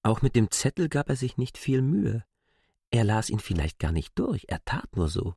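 A man reads literary prose as an expressive storyteller. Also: fairly low pitch, normal speed, creaky, slightly rough, dry recording, studio quality. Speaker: narrator of a literary classic. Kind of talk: scripted literary narration.

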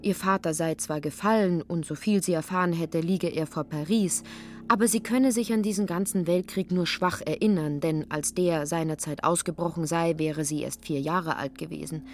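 A woman's voice: lowish voice, normal speed, a little mellow, soft background music. A woman reading literary narration expressively, slipping into characters' lines. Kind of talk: scripted literary narration for radio.

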